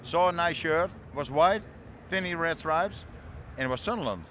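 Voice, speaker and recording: A man from the Netherlands recounts how he became a fan in halting, storytelling English. His voice sits slightly high, with clear outdoor noise around him. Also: bright, forceful tone. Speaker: fan guest. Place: outdoors pitchside at a stadium. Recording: noisy outdoor stadium.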